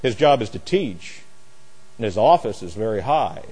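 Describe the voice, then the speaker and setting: A man's voice: lowish voice, big pitch swings, moderate pace, darkish tone, breathy edge, rough recording. A man preaching as a pastor in a Bible lesson, speaking in an emphatic, opinionated, plain-spoken and folksy way. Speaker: pastor teaching a Bible study. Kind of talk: sermon, expository Bible teaching.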